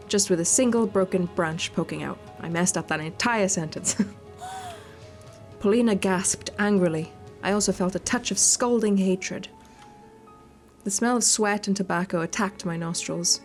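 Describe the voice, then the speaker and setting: Woman reading a game aloud over music. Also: mid-range voice. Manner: expressive reading, teasing asides in coarse language. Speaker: video game streamer. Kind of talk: reading visual novel text aloud with joking commentary. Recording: music under the voice.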